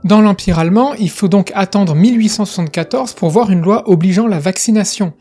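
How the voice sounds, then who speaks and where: A man's voice: sits high, fairly quick, warm tone, faint music behind. A man hosting an educational video, explaining history in a casual, chatty way with frequent asides.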